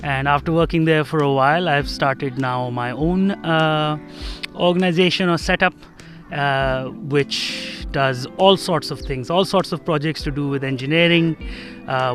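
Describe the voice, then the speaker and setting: A man's voice: fairly high pitch, a little slow. Young man from Pakistan answering interview questions. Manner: relaxed, uh and um fillers, laughs lightly. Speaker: guest. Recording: faint music underneath.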